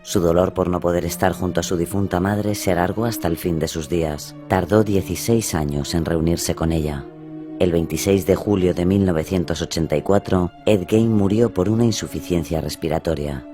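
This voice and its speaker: A man with a very deep voice narrates true crime for radio. His delivery is scripted, and music plays clearly behind him. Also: brisk. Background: prominent music.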